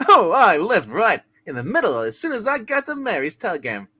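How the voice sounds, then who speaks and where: Performer playing a bright, boisterous hometown joker. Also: mid-range voice, huge pitch swings, brisk, full character voice. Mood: cheery. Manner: clownish, exclamatory. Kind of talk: hammed-up amateur radio play reading.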